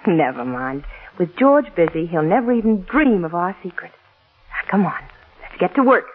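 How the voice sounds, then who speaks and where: A young, scheming newlywed in an old radio play. Her voice is husky and moves widely in pitch, coaxing and intense. It comes through a narrow, tinny 1940s broadcast. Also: lively intonation, normal speed. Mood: coaxing, friendly.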